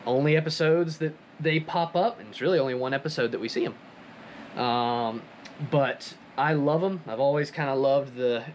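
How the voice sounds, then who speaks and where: A man's voice: medium pitch, moderate pace, highs cut off, a little hiss or hum. A man hosting a hobby prop-making video, explaining his build in a casual, chatty, enthusiastic way.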